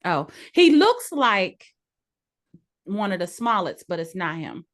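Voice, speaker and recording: Low-voiced woman chatting frankly. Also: very animated, takes it slowly. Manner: frank, opinionated, laughs easily. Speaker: podcast co-host. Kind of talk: casual chat.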